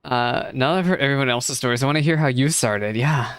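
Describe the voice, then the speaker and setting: Very low-voiced woman streamer reacting casually. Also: fairly quick, clean tone, no room sound. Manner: chatty, talks back to game characters. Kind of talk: casual live reaction commentary. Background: game music.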